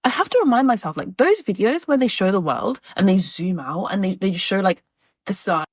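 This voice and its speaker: A young woman in her twenties who speaks quickly and earnestly about opening up, with a steady run of "like" fillers. Her voice is mid-pitched and dry, close to the mic. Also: dry recording. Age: 20s. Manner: earnest, chatty, lots of "like" fillers. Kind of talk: casual self-help talk.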